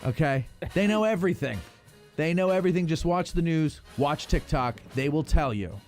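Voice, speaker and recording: A man's voice: fairly high pitch, lively intonation, normal speed, a little mellow, faint music behind. A man hosting a podcast, chatting casually and ad-libbing promotions in a jokey, mock-announcer way. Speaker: podcast host. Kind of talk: casual ad-libbed promotional chat.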